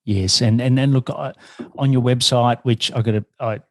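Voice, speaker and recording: A man's voice: mid-range voice, steady tone, normal speed, no echo, clean studio sound. A man hosting a podcast and interviewing in a conversational way.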